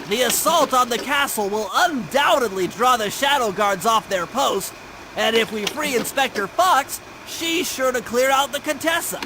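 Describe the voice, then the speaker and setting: A man's voice: very high voice, background noise, full character voice. A man voicing a nerdy, fussy young mastermind in a cartoon video game, briefing precisely in a nasal voice. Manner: precise, wordy mission briefing. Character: nerdy young brainy male gadget mastermind, fussy and eager. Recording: loud music behind the voice.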